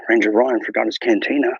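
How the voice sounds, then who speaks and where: A man playing a bumbling, boastful wilderness ranger who hosts a mock nature show, talking in a mock Australian accent with hushed, mock-serious narration and a husky voice. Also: medium pitch, steady tone, fairly quick, no room sound, dull audio. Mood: hushed bravado. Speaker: mock wildlife show host. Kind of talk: improvised parody nature documentary.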